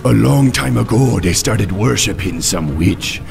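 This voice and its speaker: A man's voice: on the low side, lively intonation, a little slow, vocal fry, darkish tone, exaggerated voice. A man playing an earnest, easygoing troll headhunter in a video game, with a Jamaican-style accent. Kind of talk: video game character acting. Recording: game music and rain behind.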